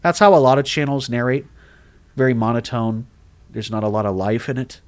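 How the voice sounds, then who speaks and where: A man talks casually to his viewers on a livestream, rambling from one thought to the next. He restarts his sentences often and keeps an animated, chatty energy. His voice sits at a middle pitch. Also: fairly quick. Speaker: horror story narrator and streamer. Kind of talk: casual livestream chat reading comments.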